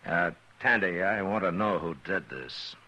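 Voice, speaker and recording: An actor playing a steady, calm frontier marshal who also narrates, in a 1950s radio drama. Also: male voice, a little hiss or hum, full character voice. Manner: deep, calm, measured. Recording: old radio broadcast with faint music.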